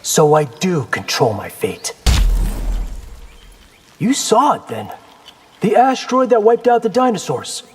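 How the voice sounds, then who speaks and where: A defiant young fighter from a video game, with a slightly high male voice and very lively intonation. He sounds wry and sure of himself, with light reverb around him. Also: unhurried, long pauses, a little hiss or hum. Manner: cocky, wry, determined. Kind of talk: video game character acting.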